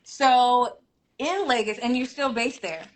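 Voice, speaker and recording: A woman's voice: on the high side, slow, slight background noise. A woman hosting a casual live interview, telling stories with lots of laughter, on a video call. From Nigeria. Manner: laughs often, animated storytelling. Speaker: host. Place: United States.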